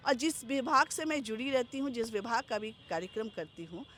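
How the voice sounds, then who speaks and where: A middle-aged woman from Bihar, India, a stage anchor and folk dancer, answering interview questions in a measured, articulate announcer's manner. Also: fairly high pitch, animated, fairly bright tone, slight background noise.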